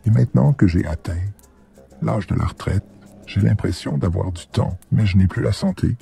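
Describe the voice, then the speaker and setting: Man acting as frail cartoon grandfather, seventies, very low gravelly voice, regretful, earnest, reflective. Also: moderate pace, dark tone, soft background music. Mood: wistful, earnest. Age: 70s. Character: weary, ailing old grandfather, regretful and earnest. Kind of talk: animated drama acting in French.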